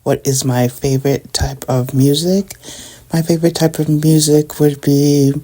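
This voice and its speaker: A woman's voice: low-pitched, steady tone, measured pace, dark tone. A middle-aged woman, chatting casually to her viewers while tidying up at home. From Canada. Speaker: vlogger. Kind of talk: casual chat while decluttering.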